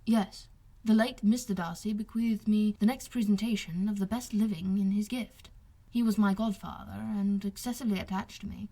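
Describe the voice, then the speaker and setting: A young gentleman officer from a period novel , voiced by a female reader. The voice is husky and sits at a middle pitch. He explains his wrongs earnestly, with formal, self-pitying politeness. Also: fairly even intonation, moderate pace. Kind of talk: audiobook character dialogue.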